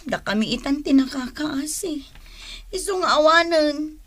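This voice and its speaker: A woman's voice: high voice, expressive, measured pace, a bit bright. An actress playing a debt-burdened married woman in an Ilocano radio drama, with a plaintive, sighing delivery and an Ilocano accent. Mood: troubled. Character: distressed married woman burdened by debts, remorseful wife.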